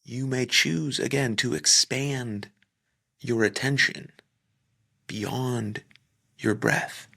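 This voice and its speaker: A man leading a guided meditation, speaking gently in short phrases with pauses and sometimes trailing off into a near-whisper. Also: medium pitch, vocal fry. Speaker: podcaster. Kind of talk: guided meditation, soft slow instruction.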